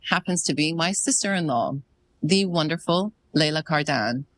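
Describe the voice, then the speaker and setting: Woman, 30s, podcast host, low-pitched, effusive, grateful, chatty. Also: slight background noise, poor audio. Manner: effusive and grateful, warm praise. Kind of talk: casual interview.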